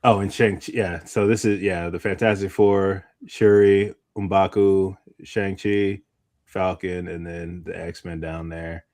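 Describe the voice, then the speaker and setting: A man's voice: low-pitched, steady tone, slow, darkish tone. A man chatting casually about movies as a podcast co-host, rambling and often trailing off mid-thought.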